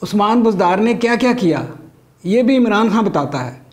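A man's voice: high-pitched, animated, moderate pace. A middle-aged man with a Pakistani accent delivering a scripted satirical comedy monologue as a stand-up comedian. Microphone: lapel mic.

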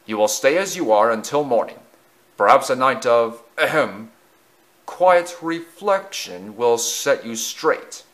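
A man's voice: medium pitch, expressive, a bit bright. A man voicing a stern, authoritative male railway director in a storybook, speaking formally and firmly. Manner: formal, firm, measured orders.

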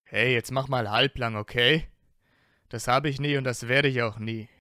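A man plays a proud young wizard in an amateur audio drama. His voice is bright and sharp at a middling pitch, with no room around it. He is teasing, easily affronted and full of himself. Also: steady tone, normal speed, no room sound, studio quality, close to an everyday voice. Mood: indignant. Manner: haughty, indignant, teasing. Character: proud, vain young blond male wizard, easily offended, mocking. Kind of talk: fan audio drama acting.

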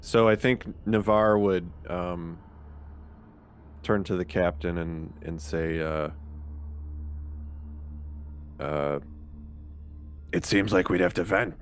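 A man's voice: sits low, fairly even intonation, takes it slowly, long pauses. A man chatting casually as a player in a tabletop game, tentative and questioning.

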